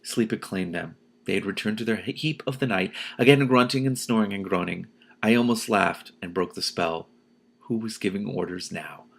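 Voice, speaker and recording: Expressive man with a low, creaky voice. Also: moderate pace. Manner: expressive, excitable. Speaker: host reading a book aloud with reactions. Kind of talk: expressive audiobook-style reading with spontaneous commentary.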